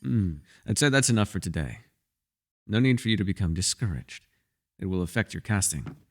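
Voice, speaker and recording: A man's voice: lowish voice, animated, brisk. A man playing a mysterious elf mage in a fantasy ASMR roleplay, a patient mentor who muses wryly in formal, old-fashioned phrasing. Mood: genial, wry. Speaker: voice actor.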